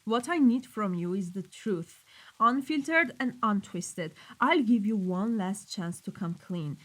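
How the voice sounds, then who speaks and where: A woman's voice: mid-range voice, animated, a little slow, poor audio. A woman playing a cold, commanding mafia boss in a scripted audio roleplay, speaking sternly and accusingly. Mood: scornful. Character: cold, menacing female mafia boss dealing in weapons.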